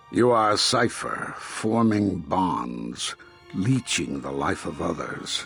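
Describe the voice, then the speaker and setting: An actor playing a stern, condemning older Jedi master in a video game, speaking gravely and deliberately with a husky voice. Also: male voice, fairly low pitch, a bit bright, heavily acted. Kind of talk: dramatic video game dialogue.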